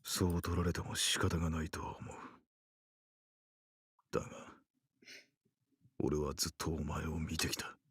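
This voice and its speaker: A man's voice: very deep voice, flat delivery, fast, long pauses, fairly bright tone, breathy edge, dry recording, heavily acted. An actor playing a stern, guarded male doctor in a Japanese visual novel, muttering and restrained. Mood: earnest, restrained.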